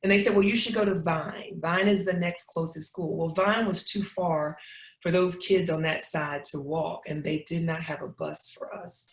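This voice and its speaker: A woman's voice: lowish voice, fairly even intonation, moderate pace. Southern lady telling stories like she's preaching, echoey room. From Knoxville, Tennessee. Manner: preacherly, repetitive emphatic storytelling. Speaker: guest speaker. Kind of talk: storytelling talk. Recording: roomy echo.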